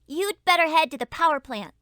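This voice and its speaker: Very high, bright young spy girl. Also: expressive, fairly quick, no echo, clean studio sound. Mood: helpful. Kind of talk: educational game cartoon acting.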